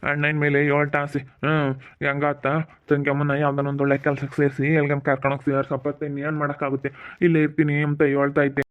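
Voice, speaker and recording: A man's voice: fairly high pitch, completely flat delivery, brisk, natural voice. A man acting a resigned, rustic older relative in a family drama, speaking colloquially. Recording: muffled, phone-like thin sound.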